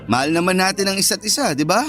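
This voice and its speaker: A cocky heir of thirty wooing his girlfriend in a Filipino radio drama. His voice is on the high side, eager and coaxing, full of sweet talk. Music runs behind him. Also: expressive, quick pace, a bit bright. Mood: coaxing, earnest. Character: eager, boastful thirty-year-old heir, sweet-talking suitor. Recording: background music under the voice.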